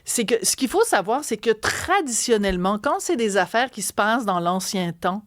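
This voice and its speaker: A woman with a Québécois French accent talks through a story in a studio, chatty and animated, often stopping to restart a phrase. Her voice sits in a mid range and is recorded close and dry, with no room sound. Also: medium pitch, moderate pace, dry recording. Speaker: co-host of a talk segment. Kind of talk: casual chat on a radio and TV show.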